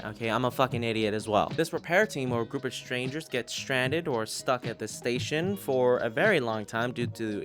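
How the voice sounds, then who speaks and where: A man's voice: medium pitch, a bit bright. A man giving a casual, profanity-laced review in an emphatic, rambling, irreverent manner. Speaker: podcast reviewer.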